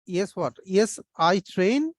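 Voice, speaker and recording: A man's voice: high-pitched, animated, takes it slowly, no room sound, clean studio sound. A man teaching an online English class, patiently correcting his students' grammar over a video call.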